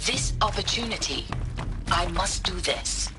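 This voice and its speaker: An actress playing a conflicted, reflective art historian in a video game, speaking in an earnest, deliberate way. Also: female voice, lowish voice, animated, heavy background noise. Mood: resolved, solemn. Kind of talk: recorded monologue in a video game. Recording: game music loud behind the voice. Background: loud game soundtrack.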